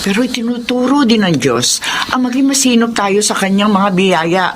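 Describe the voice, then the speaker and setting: An actress playing a devout, soft-hearted mother who scolds her son gently in a radio drama. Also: mid-range voice, lively intonation, normal speed, a little hiss or hum, close to an everyday voice. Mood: scolding.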